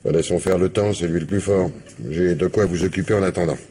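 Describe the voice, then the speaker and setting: Male commissioner, French radio crime drama: very low husky voice, brisk, sardonic, colloquial. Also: steady tone, dark tone. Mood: wry, weary. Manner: colloquial, blunt, wry. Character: brisk, weary, paternal male police commissioner, bluntly sardonic.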